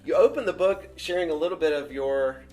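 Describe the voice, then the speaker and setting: A man's voice: fairly high pitch, brisk, darkish tone, faint music behind. A man interviewing an author, friendly, introducing the book and asking questions with some stumbles and repeated words.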